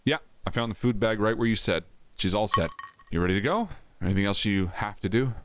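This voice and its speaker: Male actor as wry cop friend in audio drama: lowish, lively intonation, sarcastic, practical, close dry sound. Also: a little fast, a little hiss or hum, natural voice. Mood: teasing. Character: sardonic, practical male police officer, patient but teasing friend. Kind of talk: naturalistic audio drama acting.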